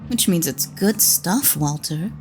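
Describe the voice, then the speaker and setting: A woman's voice: fairly low pitch, lively intonation, takes it slowly, music in the background. A woman playing a candid, teasing listener in an audio drama, with conversational delivery. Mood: playful approval. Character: creeped-out but approving woman listening to a writer's draft.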